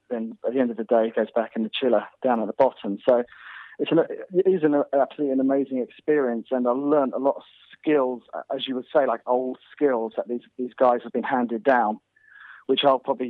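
A man's voice: mid-range voice, dry recording. A man from North Wales, a guest hunter chatting casually in an interview, rambling with lots of restarts. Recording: tinny phone line.

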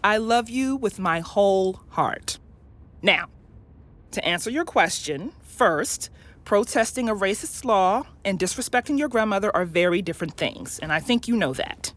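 A woman playing a loving, patient, academic mother in an audio drama, explaining things gently and thoughtfully, with some hesitation. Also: mid-range voice, lively intonation, moderate pace, natural voice. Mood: affectionate, firm.

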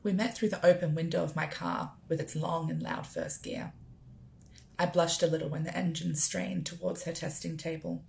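An Australian woman reading her own literary writing aloud in a measured, deliberate, poetic way. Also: low voice, animated, gravelly, a little mellow. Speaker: writer performing own work. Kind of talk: literary spoken-word reading.

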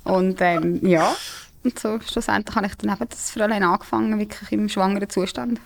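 A woman's voice: mid-range voice, fairly even intonation, brisk, vocal fry. A woman in her thirties with a Lucerne hinterland Swiss German accent, talking casually as a guest, chatty with frequent fillers and laughs. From Switzerland. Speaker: singer-songwriter guest. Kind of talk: casual conversation.

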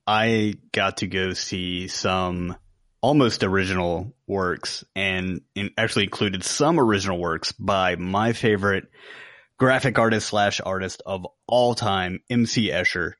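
A man's voice: low-pitched, a bit bright, no room sound, studio quality. A man co-hosting a podcast in casual conversation. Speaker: podcast co-host. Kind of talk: casual chat.

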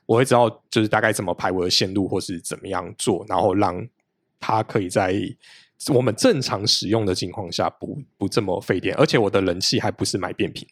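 A man's voice: lowish voice, fairly quick, breathy edge, no room sound, clean studio sound. A man in his late thirties, a podcast guest in casual chat, explaining things at length in a practical, detailed way.

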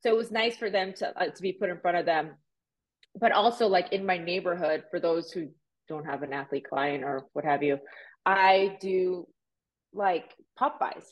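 A woman in her thirties from Puerto Rico, raised in Miami, speaks in a somewhat low register. She talks casually and chattily as an interview guest, filling her stories with "like" and "you know". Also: moderate pace, low-quality recording. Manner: chatty, animated, many fillers like "like" and "you know". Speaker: real estate agent, guest.

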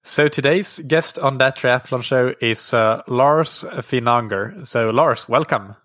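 A man hosting a podcast, reading sponsor messages and then interviewing a guest in a relaxed, conversational way with frequent fillers. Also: medium pitch, takes it slowly, no room sound, clean studio sound, soft background music. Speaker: podcast host.

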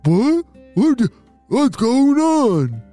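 A man's voice: very high-pitched, wildly swooping pitch, very slow, warm tone, faint music behind, voice pushed up, heavily acted. A man voicing a timid, reluctant, dim-witted ninja henchman in a children's story, hesitant and repeating himself. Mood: startled, disgusted.

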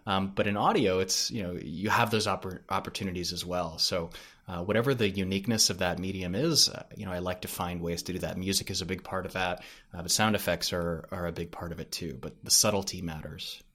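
A man giving casual explanations as a podcast guest, haltingly thinking aloud as he goes. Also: sits low, steady tone, a bit bright. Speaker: podcast creator, interview guest. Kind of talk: casual explanatory interview talk.

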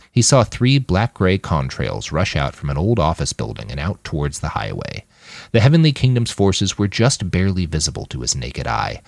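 Low male voice reading a novel, dramatic storyteller, pitch moves around a lot, really dry sound. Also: dry recording. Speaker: author reading his own novel on a podcast. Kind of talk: scripted fiction audiobook narration.

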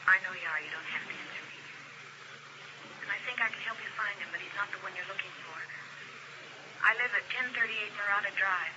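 An actress playing a young woman who phones with secret help, speaking in a hushed, urgent way in a 1950s radio drama. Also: mid-range voice, a little fast, pauses often, natural voice. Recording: muffled old radio recording with hiss.

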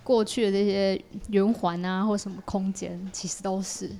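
A woman's voice: medium pitch, steady tone, moderate pace, gravelly, warm tone, a little hiss or hum. A woman with a Taiwanese Mandarin accent, a podcast guest explaining her creative work in a casual conversational way. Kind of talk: casual explanatory interview talk.

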